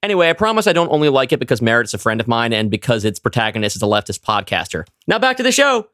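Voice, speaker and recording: A man's voice: mid-range voice, sing-song, very fast, a bit bright, dry recording, studio quality. A man delivering a scripted, personal sponsor read for a podcast.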